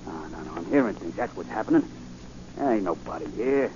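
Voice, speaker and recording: Low-voiced, slangy small-time crook. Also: male voice, expressive, fairly quick. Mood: startled, confused. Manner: rough slangy working-class speech. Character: shady, rough, jumpy small-time crook and fake psychic. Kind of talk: 1940s radio acting. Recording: muffled, lightly noisy 1940 radio recording.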